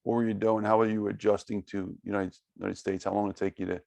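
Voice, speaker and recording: A man's voice: fairly low pitch, flat delivery, fast talker, vocal fry, a little mellow, no echo. A man hosting a podcast interview, giving short reactions and asking follow-up questions. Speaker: podcast host. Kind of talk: casual interview.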